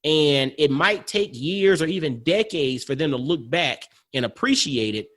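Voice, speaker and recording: A man's voice: fairly high pitch, lively intonation, measured pace, a bit bright. A man in his forties hosting a conversational motivational podcast interview, speaking in an earnest, affirming and emphatic way. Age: 40s. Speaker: podcast host.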